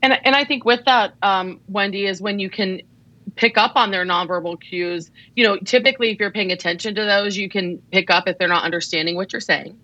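A woman's voice: medium pitch, brisk, bright, forceful tone, dry recording, dull audio. A woman co-hosting a podcast, chatting casually and affirming her co-hosts while giving advice. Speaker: podcast co-host.

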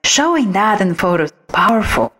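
A woman talks as a guest expert in an interview. Her voice is of average pitch for a woman. She explains her advice conversationally, as someone answering a host. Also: lively intonation, normal speed, fairly bright tone. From originally from outside the United States. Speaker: guest, visual branding expert.

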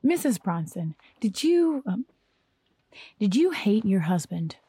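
A woman's voice: mid-range voice, sing-song, slow, mellow, slightly rough, no room sound, exaggerated voice. An actress in a 1940s radio drama playing a female district attorney who questions a witness with pointed persistence. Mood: accusing.

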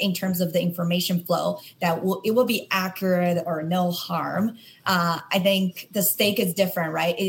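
A woman's voice: lowish voice, a little hiss or hum. A woman moderating a panel, posing questions plainly with slight hesitations.

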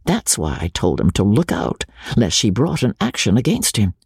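A man's voice: fairly low pitch, wildly swooping pitch, normal speed, a little mellow, slightly rough, no room sound, studio quality, exaggerated voice. A man voicing a young army officer who is arrogant and mocking, talking in laughing banter. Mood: scornful.

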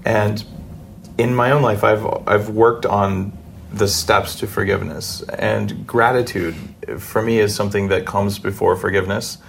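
Creaky-voiced male podcast host. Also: on the low side, fairly even intonation, a little slow, slight background noise. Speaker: podcast host interviewing a guest. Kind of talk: conversational interview.

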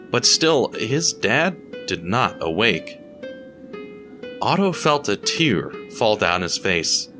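A man reading scripted dramatic story narration in a measured, deliberate storytelling manner. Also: fairly low pitch, bright, forceful tone.

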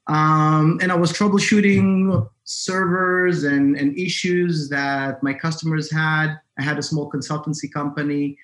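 Higher-voiced Israeli man in an echoey room. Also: fairly bright tone. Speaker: guest, cybersecurity entrepreneur. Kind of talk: conversational interview talk. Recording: roomy, echoing sound.

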